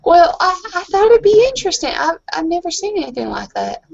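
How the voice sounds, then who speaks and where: Woman playing a tipsy troubled girl in a tabletop game, halting n evasive, dry muffled audio. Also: very high voice, big pitch swings, gravelly, a little mellow, no room sound, voice pushed up, full character voice. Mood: unsure, giddy. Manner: hesitant, halting, apologetic. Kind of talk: in-character tabletop roleplay.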